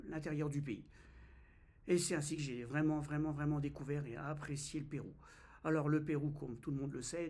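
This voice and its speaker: A man's voice: fairly high pitch, a little mellow, slightly rough. A man in his fifties from France, vlogging in a casual, hesitant way with many fillers and restarts. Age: 50s. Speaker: travel vlogger. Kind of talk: casual first-person vlog.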